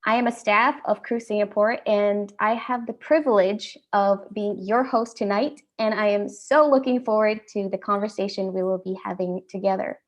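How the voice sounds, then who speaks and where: A woman's voice: medium pitch, fairly even intonation. Indian-accented woman hosting a panel. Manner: friendly, measured, with frequent ums. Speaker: host. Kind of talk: hosting an online panel talk.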